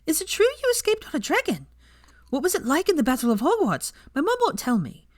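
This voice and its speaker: Woman putting on a high-pitched, nosy schoolgirl. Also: huge pitch swings, brisk, no echo, clean studio sound. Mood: excited, nosy. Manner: snappish, badgering with questions. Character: gossipy, nosy schoolgirl students, shrill and excitable.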